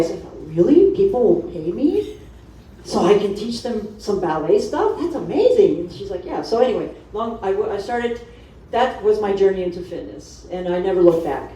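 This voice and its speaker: A middle-aged woman talks informally to an audience, acting out her asides in an animated way. Her voice sits a little low. The sound is very reverberant and dull, picked up from far off. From Honduras. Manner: animated, conversational storytelling with acted-out asides. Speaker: fitness instructor on a seminar panel. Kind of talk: informal personal talk to a live audience. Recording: distant mic in echoey room, dull sound.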